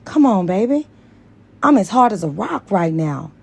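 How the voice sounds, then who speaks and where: A woman's voice: medium pitch, sing-song, moderate pace, gravelly, a little mellow, husky, full character voice. A woman voicing a persistent, smitten married man who pleads with his lover in an audiobook. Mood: pleading. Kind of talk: dramatized audiobook dialogue.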